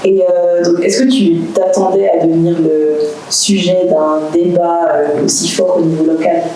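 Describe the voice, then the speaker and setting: A woman's voice: lowish voice, little pitch movement, measured pace, crowd noise. A woman interviewer asking short, direct questions. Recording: very echoey room with noise.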